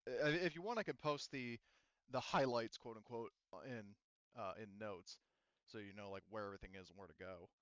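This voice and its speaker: A man's voice: lowish voice, moderate pace, a bit bright, smooth, dry recording, lo-fi sound. A man running a tabletop game as game master in casual chat, rambling and correcting himself as he goes.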